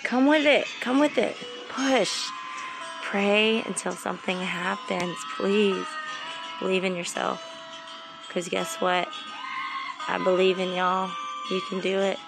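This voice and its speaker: Woman vlogger, mid pitch, gravelly edge, rambling motivational faith talk, faint music under. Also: fairly even intonation, slow pace, long pauses, poor audio. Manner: heartfelt, rambling, encouraging. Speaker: vlogger and mom.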